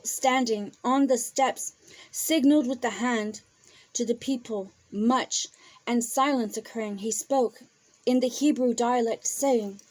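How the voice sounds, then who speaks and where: A woman reading a literal Bible translation aloud, halting word by word and correcting herself as she goes. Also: a little high, a little mellow, low-quality recording. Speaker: scripture reader.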